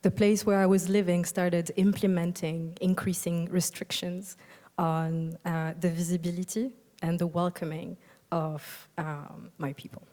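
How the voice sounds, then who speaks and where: A young woman from Algeria delivers a keynote in a soft, thoughtful way, filling her pauses with ums and uhs. Her voice sits a little low and sounds mellow and warm. A long reverb from a large hall hangs behind her words. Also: flat delivery. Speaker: architect giving a keynote. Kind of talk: conference keynote talk.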